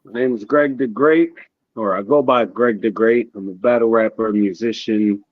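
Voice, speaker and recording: Laid-back man co-host, lowish voice, dull dry home recording, soft-spoken. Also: moderate pace, no echo. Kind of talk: casual chat.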